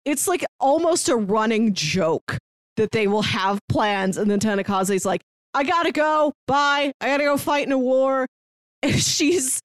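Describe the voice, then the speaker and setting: Expressive woman chatting on a podcast. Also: sits high, measured pace, dry recording, studio quality. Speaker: podcast host. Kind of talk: casual chat about a manga.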